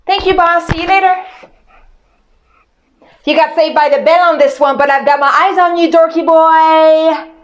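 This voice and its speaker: A woman's voice: very high voice, fairly even intonation, moderate pace, long pauses, muffled recording, a little hiss or hum. A woman playing a cocky schoolyard bully with mocking, sing-song taunts. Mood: smug.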